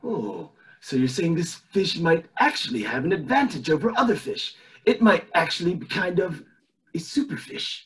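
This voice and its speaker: A man's voice: on the high side, very animated, normal speed, a bit bright. A man voicing a scheming, smug elderly tycoon in an oily, pompous, theatrical way, in an amateur cartoon table read. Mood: smarmy, oily. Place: indoors at home on a video call.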